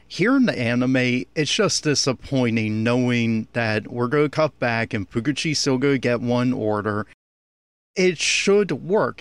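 A man's voice: medium pitch, smooth, no room sound. A man giving an opinionated, critical audio commentary on an anime.

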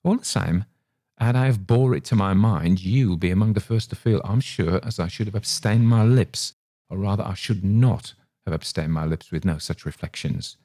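A man's voice: lowish voice, moderate pace, dark tone, dry recording, clean studio sound. A man voicing a pompous, long-winded male innkeeper who talks in tangled, ungrammatical phrases. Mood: self-important. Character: pompous garrulous male innkeeper, middle-aged.

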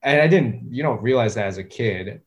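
A man in his thirties, a guest talking casually in an interview, with a relaxed, hesitant way of talking full of fillers and restarts. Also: mid-range voice, brisk. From United States. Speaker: guest, music producer.

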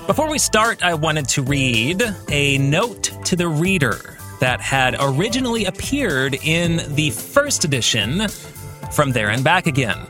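A man's voice: a little high, sing-song, a little slow, music in the background. A man in his forties hosting a podcast as an author, speaking in an emphatic, conversational monologue. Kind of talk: podcast monologue introducing a book club.

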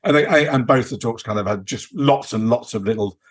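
British man, mid-pitched, rambling conversational delivery. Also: a little fast, husky. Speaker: podcast co-host. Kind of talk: casual unscripted chat.

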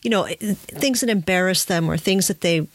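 A woman's voice: on the low side, normal speed. A woman interviewing as a podcast host, conversational, feeling her way through her phrasing.

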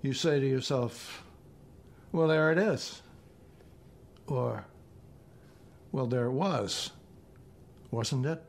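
A man in his seventies with an American accent, reading his own poetry and prose in a deliberate, measured way. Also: medium pitch, creaky. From northeastern United States. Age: 70s. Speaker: poet reading own work.

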